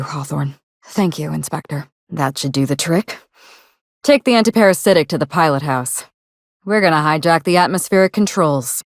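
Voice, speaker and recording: A low, closely recorded, dry-sounding voice for a video game acted by a woman: a proud actress in mourning. She speaks in measured, formal phrases, wistful yet wry, with a quietly ruthless streak. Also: no echo, natural voice. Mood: wistful, subdued, then brisk, suspicious. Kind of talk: video game dialogue acting.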